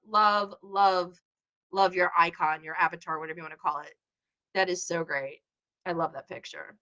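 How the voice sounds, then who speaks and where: A woman's voice: high-pitched, pushed and bright, lo-fi sound. A woman hosting a casual live stream, chatting and rambling to her viewers and greeting them by name. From Chicago area. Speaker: livestream host.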